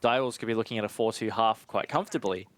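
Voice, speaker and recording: A man's voice: lowish voice, fast talker, fairly bright tone. A man giving analytical live esports commentary in a conversational way with casual asides.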